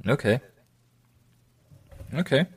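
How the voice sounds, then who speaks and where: A man hosting a casual podcast, recommending things in an enthusiastic, colloquial way. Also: takes it slowly. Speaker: podcast host.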